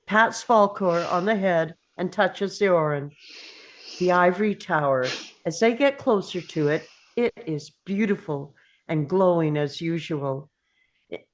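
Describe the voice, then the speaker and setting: A woman's voice: mid-range voice, low-quality recording. A woman reading stage directions as scripted narration at a table read.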